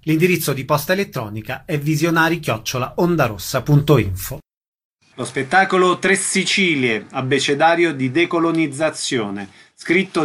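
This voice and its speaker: A man's voice: fairly high pitch, a bit bright. A man reading a scripted radio announcement.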